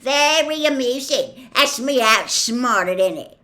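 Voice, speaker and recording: A woman voicing a loud, growling, grumpy giant man who speaks in rustic dialect, in a storybook reading. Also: sing-song, very slow, full character voice. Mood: growling sarcasm. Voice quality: growling, booming. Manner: rustic dialect, snorting and grumbling.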